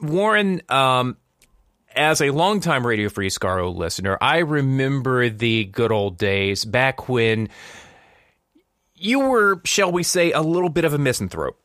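A man hosting a podcast in a conversational style, musing in a measured way with deliberate pauses. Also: medium pitch, big pitch swings, fairly bright tone, no echo, clean studio sound. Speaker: podcast host.

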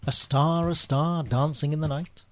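An actor playing a dry, wry British gentleman spy with an understated manner in a radio comedy-mystery. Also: on the high side, fairly even intonation, measured pace, natural voice. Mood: musing.

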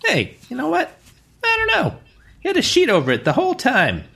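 A man playing a gruff, impatient working-class caterer with colloquial grammar in an old-time radio parody. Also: very high voice, wildly swooping pitch, brisk, sharp tone, heavily acted. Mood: grumbling, chatty. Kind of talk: parody of 1940s radio drama.

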